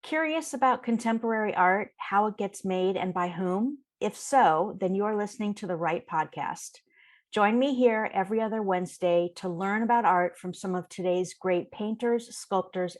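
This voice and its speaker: Upbeat woman podcast host. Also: mid-range voice. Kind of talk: scripted intro then interview chat.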